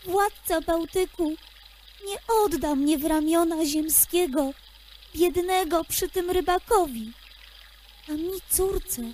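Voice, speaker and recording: A woman's voice: very high-pitched, darkish tone, a little hiss or hum. A woman playing a lovelorn young sea princess in a fairy-tale audio drama, plaintive, tender and poetic.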